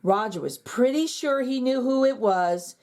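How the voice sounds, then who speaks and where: A woman reads a children's picture book aloud as the host of a reading channel. Her storytelling is expressive and sing-song, with lively swings in pitch. Her voice sits a little high, and its tone is warm and mellow. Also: measured pace.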